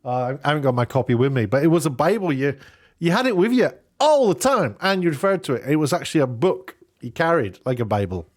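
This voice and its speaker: A man giving casual, off-the-cuff commentary in a halting, rambling way, often breaking off to rephrase. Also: a little high, animated, no echo, clean studio sound. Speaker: online commentator, former broadcast worker.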